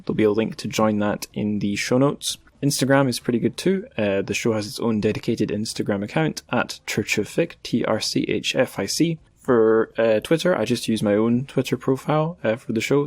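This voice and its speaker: Man, podcast host, slightly low voice, informal delivery, wry humor, dry sound. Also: no room sound. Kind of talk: casual podcast outro and chat.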